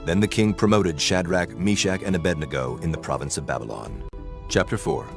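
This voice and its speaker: Very deep male scripture narrator over music. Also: gravelly. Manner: solemn, measured storytelling. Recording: music bed under the voice.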